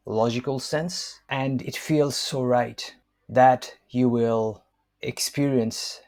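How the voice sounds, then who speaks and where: A man in his fifties from Nepal, whose first language is Newari, reading his own book aloud in a measured, hesitant way with frequent fillers. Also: medium pitch, darkish tone. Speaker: author and language counselor.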